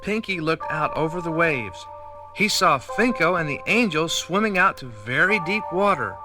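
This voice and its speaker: A man narrating a 1980s children's read-along record in a clear storybook manner. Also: on the high side, expressive, normal speed. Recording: vintage vinyl record with faint music.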